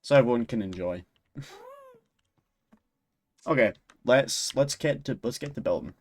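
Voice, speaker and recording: A man's voice: mid-range voice, expressive, unhurried. A man with a Scottish accent, chatting casually on a stream, rambling and laughing often. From Scotland. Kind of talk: casual chat while gaming and reading chat.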